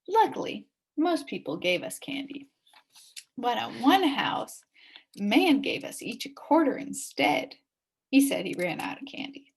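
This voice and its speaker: A woman reading a children's story aloud in an expressive, dramatic way, dropping into hushed suspense at times. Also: fairly high pitch, vocal fry, breathy edge. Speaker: story reader.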